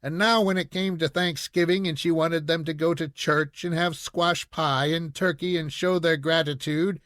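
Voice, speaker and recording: A man reading a children's story aloud in an expressive, measured storytelling manner. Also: a little high, no echo, studio quality. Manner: measured storytelling with acted dialogue. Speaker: audiobook reader.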